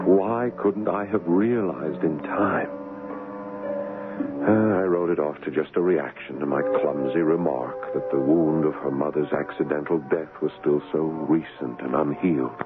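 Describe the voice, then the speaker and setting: An actor playing a devoted, anxious husband in a 1970s radio drama, earnest and hesitant. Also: male voice, very deep voice. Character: devoted, anxious American husband, haunted in hindsight. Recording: muffled, hissy old radio broadcast.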